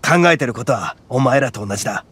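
An actor voicing a loud, brash young oni gang leader in a game, swaggering and rough-talking but big-hearted. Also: male voice, medium pitch, expressive, a little fast. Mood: serious. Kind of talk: game voice acting. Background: game music.

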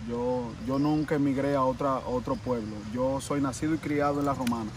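A man's voice: mid-range voice, steady tone, a little fast, far from the mic, noisy background. A Dominican man with a Dominican Spanish accent, speaking earnestly in a casual interview and often repeating himself. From Dominican Republic. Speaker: interview guest, vodou practitioner.

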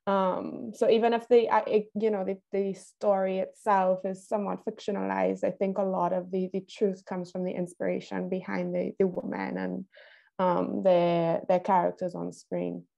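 Young female film director from Trinidad, interview guest, gravelly fry, mid-pitched, halting and reflective. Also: level tone, mellow, dull audio. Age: young adult. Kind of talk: casual interview talk. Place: indoor room, video call. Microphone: wired earphones on a video call.

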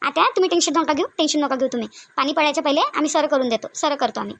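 A woman's voice: high-pitched, rapid-fire, fairly bright tone, slight background noise. A woman with a Varhadi Marathi accent voicing a patient, placating daughter-in-law in a family cartoon. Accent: Varhadi (Vidarbha) Marathi. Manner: placating, reassuring. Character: patient, peacemaking elder daughter-in-law of the house. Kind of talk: cartoon family comedy acting.

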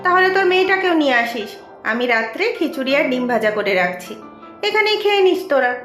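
A woman playing a caring, concerned young village housewife in a cartoon, hospitable and gentle in manner. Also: sits high, sing-song, fast. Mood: welcoming. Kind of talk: Bengali cartoon voice acting. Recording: roomy echo, faint music bed. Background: music.

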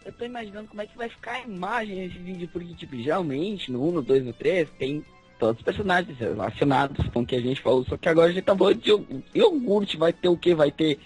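A man's voice: high-pitched, expressive, fast talker, few pauses, darkish tone. A teenage guy from Brazil on a podcast, bantering in a playful, teasing way. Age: teens. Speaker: podcast co-host. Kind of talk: casual group chat. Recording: faint music behind.

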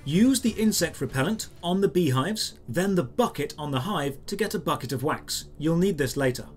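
Male guide narrator, slightly high register, scripted instructional delivery over background music. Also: lively intonation, moderate pace. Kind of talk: scripted step-by-step tutorial narration.

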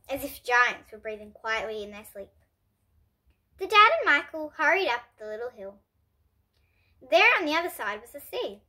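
A child reading a storybook aloud in an expressive, careful way, occasionally stumbling over words. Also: mid-range voice. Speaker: host of a children's book reading series.

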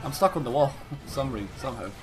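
A young man streaming a game on a headset, swearing and joking with friends, his voice going hoarse. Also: medium pitch, quick pace, faint music behind, poor audio. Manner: excitable, swearing, sings snatches. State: losing his voice. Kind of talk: casual gaming chat.

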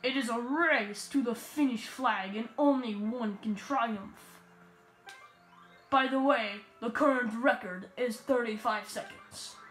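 A woman voicing a boastful male rival racer with a put-on Russian accent, speaking slowly and grandly. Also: fairly high pitch, a bit bright. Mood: grandiose. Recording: roomy echo with game music.

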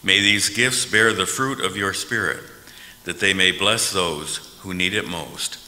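A man's voice: deep voice, measured pace, bright, forceful tone. An older American man leading formal liturgy and prayer as a pastor. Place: large church sanctuary at pulpit. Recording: reverberant church hall.

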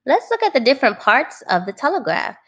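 A woman teacher reading a children's book aloud, phrasing it clearly and adding simple explanations for young listeners. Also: sits high, sing-song, brisk, fairly bright tone.

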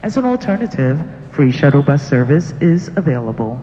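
A man's voice: on the high side, very animated, fairly quick, dark tone. A man reading a scripted public transit announcement. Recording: muffled, noisy, reverberant station PA capture.

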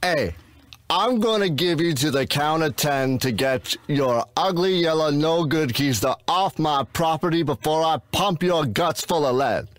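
A man's voice: on the high side, unhurried, no echo, pitched above the performer's own voice, natural voice. A man voicing a menacing, sneering tough gangster in the manner of an old crime film. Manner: threatening, sneering. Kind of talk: old gangster movie parody.

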